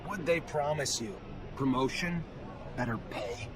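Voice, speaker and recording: A man's voice: medium pitch, animated, measured pace, music in the background, natural voice. A man playing a terse, hard-edged young army officer on the run in a video game, blunt and commanding. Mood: cold, accusing. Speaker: player character, former officer. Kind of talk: video game cutscene acting.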